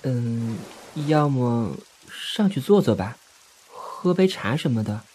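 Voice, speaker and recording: A man's voice: medium pitch, animated, slow, darkish tone, slight background noise, low-quality recording. A man playing a naive, polite young male office worker in an audio drama, hesitant and boyish. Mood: flustered.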